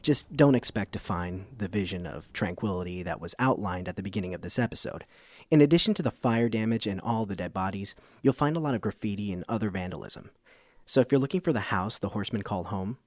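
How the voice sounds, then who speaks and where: An actor playing a wry, measured radio documentary host, narrating in a deadpan manner. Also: male voice, lowish voice, no room sound. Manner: dry, deadpan.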